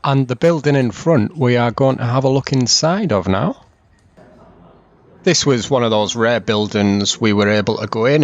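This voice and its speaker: A man from England, narrating a travel vlog voice-over in a steady, informative tour-guide way. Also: medium pitch, muffled recording.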